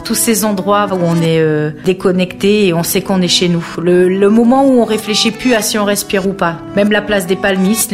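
A woman in her thirties with a lowish voice speaks about her life in a reflective, unhurried way. She searches for words, often pausing on fillers. Soft instrumental music plays faintly underneath. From French Guiana. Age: 30s. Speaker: interviewee. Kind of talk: reflective personal testimony.

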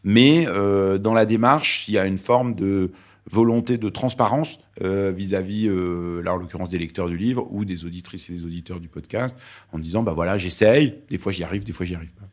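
Very deep-voiced man, hesitant. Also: normal speed. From Lebanon. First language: Arabic. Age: 40s. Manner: thoughtful, hesitant with many fillers. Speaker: journalist guest. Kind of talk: interview conversation.

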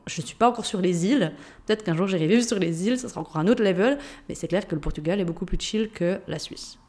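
A woman's voice: mid-range voice, fast talker, darkish tone. A woman from Switzerland with a Jura French accent, hosting a solo mindset podcast and talking straight to the listener in a chatty, informal way. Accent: Swiss French, Jura. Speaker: podcast host and mindset coach.

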